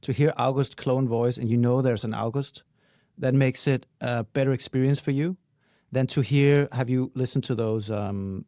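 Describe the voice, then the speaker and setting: A man with a mellow, relaxed tone and an average pitch, in a dry recording. He talks casually as part of a podcast panel, explaining as he goes. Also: moderate pace, no echo.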